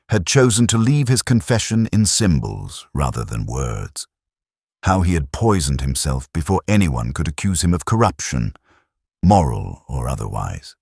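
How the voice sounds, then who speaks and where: A man with an extremely deep, husky voice narrates a detective story in the first person. His delivery is solemn and measured, yet the pitch moves expressively. The sound is dry and close. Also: dry recording.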